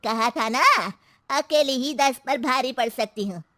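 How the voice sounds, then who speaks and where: A woman's voice: sits high, measured pace, over music. A woman playing a bold, teasing elderly mother-in-law in a children's story cartoon, brash and sarcastic as she scolds. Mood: triumphant, scornful.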